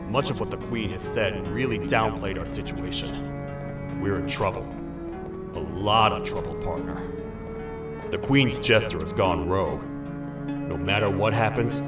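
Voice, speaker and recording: An actor playing a massive, gruff toad sheriff who talks like a stern frontier lawman. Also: on the low side, close to an everyday voice. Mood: grim. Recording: echoey game audio from a distance, light music.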